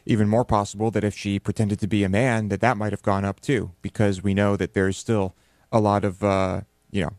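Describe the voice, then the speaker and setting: A young man co-hosting from a studio, adding calm side remarks with fillers. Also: lowish voice, brisk, dry recording. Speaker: co-host. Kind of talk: casual studio chat. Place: radio studio, indoors. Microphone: headset with studio mic.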